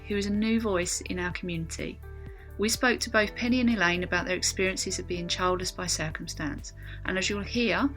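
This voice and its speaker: A woman co-hosting a podcast, reading a scripted introduction in an even, measured way. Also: fairly low pitch. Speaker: podcast co-host. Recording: faint music bed behind the voice.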